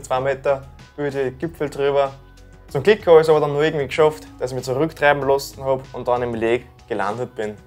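A young man in his twenties with an Austrian German accent, casually talking through his own paragliding clips from a small room indoors. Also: medium pitch. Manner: relaxed, dialect-tinged, talks through clips. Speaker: paragliding YouTuber commenting clips. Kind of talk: casual commentary.

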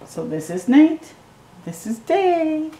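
Slow, mellow-voiced woman reading a storybook. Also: high voice, roomy sound, slight background noise. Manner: slow, gentle reading with excited asides to the viewer. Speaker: storytime reader. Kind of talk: reading a picture book aloud to children. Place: living room at home.

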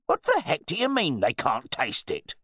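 A pompous, blustering rich man, loud and boastful, his voice pushed high and swooping widely. He speaks with rough grammar and overbearing confidence in a dry storybook reading. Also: huge pitch swings, moderate pace, no echo, heavily acted. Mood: indignant. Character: pompous, blustering, newly rich male wine bore.

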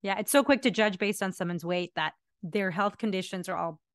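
A woman in her thirties hosts a casual podcast chat from a room at home, close to a large studio microphone. Her voice sits in a middle range and sounds dry and intimate. She affirms her guest often and lets her sentences trail off softly. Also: medium pitch, fairly quick, no room sound. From India, moved to Canada at ten. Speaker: podcast host, physiotherapist. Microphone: large studio microphone in front.

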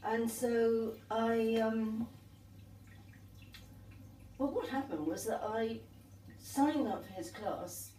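Older female artist, mellow and rambling, recorded far off in an echoey sunroom with faint hiss. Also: on the high side, takes it slowly, long pauses. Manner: rambling, warm reminiscing with restarts. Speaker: artist and guest. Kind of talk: conversational storytelling. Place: sunlit glass conservatory at a tea table. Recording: echoey room, distant mic, faint hiss.

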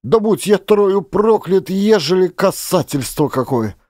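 A male reader acts a panicky tavern keeper in an audio play. He protests in flustered exclamations, pitched high and swinging animatedly. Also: lively intonation, unhurried, darkish tone, dry recording, clean studio sound. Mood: panicked protest. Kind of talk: one-man audio play.

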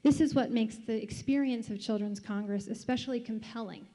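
A woman's voice: on the high side, fairly quick, dark tone. Formal woman speaking in a hall. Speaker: event chair giving a speech. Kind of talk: prepared speech. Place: conference hall. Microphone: podium microphone. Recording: hall reverb.

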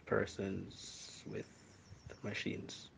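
A man playing a shaken, subdued witness in an amateur audio drama, talking haltingly. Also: sits low, monotone, creaky, fairly bright tone, slight background noise, heavily acted. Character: shaken male shooting witness, subdued staff boss.

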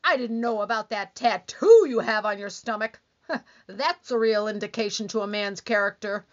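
A woman's voice: mid-range voice, normal speed, close to an everyday voice. A woman acting a needy, easily wounded, nagging wife in an old-time radio comedy, with whiny, dramatic complaining. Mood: indignant. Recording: dull, lo-fi band-limited sound.

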